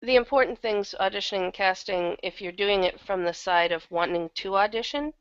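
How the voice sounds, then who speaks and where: A woman's voice: mid-range voice, a little fast, clean tone, dry recording, dull audio. A woman with a slightly worn, thrashed throat, talking casually and candidly as an interview guest who acts in audio drama.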